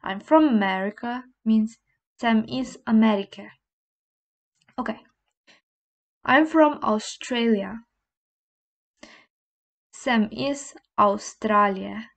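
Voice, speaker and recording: A young woman, a native Slovene speaker, slowly and patiently teaching a language lesson over a screen recording, repeating phrases and checking in with "okay?". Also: fairly high pitch, gravelly. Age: young adult.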